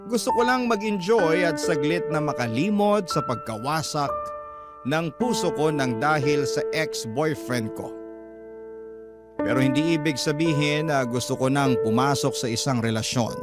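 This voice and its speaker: A man narrating a listener's letter in the first person, in a confiding storytelling manner. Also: a little high. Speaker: letter reader. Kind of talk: reading a listener's letter aloud as radio drama narration. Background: loud guitar music.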